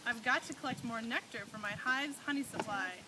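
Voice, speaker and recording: A busy little honeybee, played by a woman, explains herself in a high voice. It is an industrious puppet-show figure in a very noisy amateur recording. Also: fairly quick, lightly acted. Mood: busy, explaining. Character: small busy female honeybee, brisk and industrious. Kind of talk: children's puppet show storytelling.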